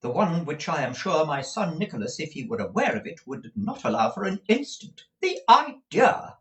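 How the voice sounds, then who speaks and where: Male reader doing a high, lively, pompous storybook mother; huffy, self-pitying. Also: a little slow. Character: vain, fussy middle-aged mother, easily offended.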